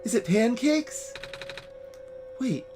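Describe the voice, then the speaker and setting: A woman's voice: a little high, expressive, drawn-out words, music in the background. A woman voicing an innocent, chatty child in a video game, asking things in an earnest, inquisitive way. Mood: eager, curious. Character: innocent, chatty child who dreams of pancakes. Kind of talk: voiced video game dialogue.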